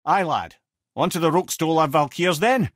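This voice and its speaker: A man's voice: fairly high pitch, normal speed, dry recording, studio quality, lightly acted. An actor playing a witty, learned old male talking head in a video game, with a Scottish accent and a wry, wordy, old-fashioned storytelling manner. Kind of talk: video game character dialogue, storytelling and battle callouts.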